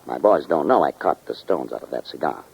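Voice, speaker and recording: A hard-boiled old radio crook, deep husky voice, curt, muffled recording. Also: male voice, lively intonation, a little fast, exaggerated voice. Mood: sly, hushed dealing. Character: tough, shrewd male fence dealing in stolen diamonds. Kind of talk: 1940s radio drama acting.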